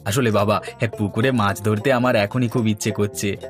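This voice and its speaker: A man's voice: fairly low pitch, steady tone, a little fast, lightly acted. Man as young son-in-law, polite, eager, cartoon dialogue, music underneath. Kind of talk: Bengali animated folk-tale dialogue.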